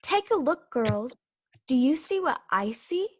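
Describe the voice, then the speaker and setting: A woman's voice: high-pitched, sing-song, unhurried, exaggerated voice. A woman playing a haughty, scornful talking flower in a children's readers theater story. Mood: watchful. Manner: haughty, disdainful.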